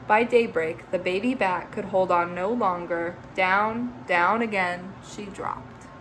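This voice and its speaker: A woman teacher reading a children's picture book aloud, expressive, with chatty asides to young listeners. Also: mid-range voice, slow pace, slight background noise.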